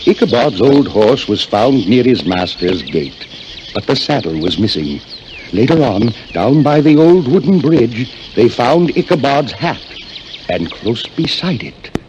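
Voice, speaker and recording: Highly animated man telling a spooky story. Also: noisy background. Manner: theatrical, excitable, suspenseful delivery. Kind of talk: dramatic spooky story narration for children. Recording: old recording with music underneath. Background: loud music.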